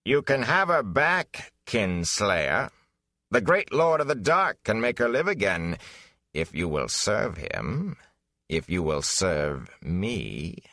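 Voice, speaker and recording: A man playing a menacing, mocking villain who serves darkness, theatrical and forceful, in a fantasy audiobook. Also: lowish voice, big pitch swings, takes it slowly, no echo, studio quality, heavily acted. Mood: taunting. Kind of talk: fantasy audiobook character acting.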